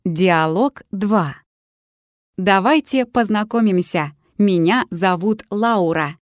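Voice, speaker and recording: A woman reading lesson dialogues aloud in a measured, carefully articulated way. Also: medium pitch, no echo, studio quality. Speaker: language teacher.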